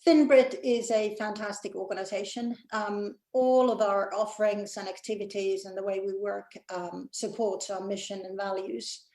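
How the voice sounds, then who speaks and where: A middle-aged Finnish woman whose English has a mid-Atlantic lean, talking over an echoey online call. Her pitch sits in an ordinary middle range, and she thinks aloud with plenty of ums and easy laughs. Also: steady tone, normal speed, few pauses, darkish tone. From Finland. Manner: thoughtful, hesitant with many ums, laughs easily. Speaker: guest, executive director. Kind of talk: casual interview chat. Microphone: video call.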